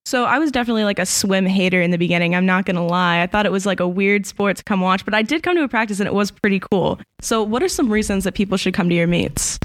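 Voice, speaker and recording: A woman hosting a school podcast, asking questions in an upbeat, brisk way. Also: mid-range voice, no echo. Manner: upbeat, quick interviewer. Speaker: student podcast host. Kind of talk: casual chat.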